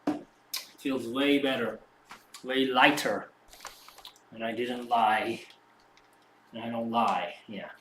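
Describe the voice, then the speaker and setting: A man who is not a native English speaker, rambling and thinking aloud after a home workout, with many halting restarts. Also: medium pitch, steady tone. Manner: rambling, halting, self-reflective. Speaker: fitness streamer. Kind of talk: casual musing after a workout. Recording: roomy echo, distant mic, faint background hum.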